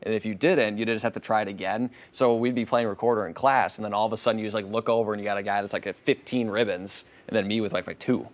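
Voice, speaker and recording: A young man from Minnesota, co-hosting a morning TV show, talking in a quick, rambling, self-deprecating way. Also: fairly low pitch, steady tone. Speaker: meteorologist and co-host. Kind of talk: casual morning show chat.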